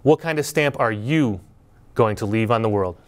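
A middle-aged man giving a prepared graduation speech from a podium, telling a story in a measured, earnest way. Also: lowish voice, a little hiss or hum. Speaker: school speaker at graduation. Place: indoor studio before green backdrop.

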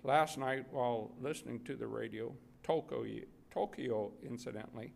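An older man reads a wartime letter aloud at a podium microphone, haltingly and with throat-clearing. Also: mid-range voice, a little hiss or hum. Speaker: readers theater reader. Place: public gallery event room, indoors. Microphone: gooseneck podium microphone.

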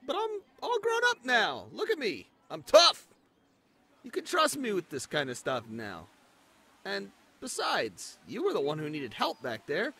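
A man's voice: high voice, huge pitch swings, a little slow, pushed and bright, breathy edge, heavily acted. A man voicing an eager, insecure spider companion who stammers, pleads and boasts in an amateur fantasy audio drama. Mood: boastful, pleading.

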